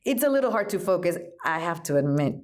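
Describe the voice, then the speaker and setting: An actress playing a shy, starstruck young museum worker in an audio drama, hesitant and apologetic. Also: female voice, fairly low pitch, sing-song, fast talker, a little mellow. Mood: flustered, smitten.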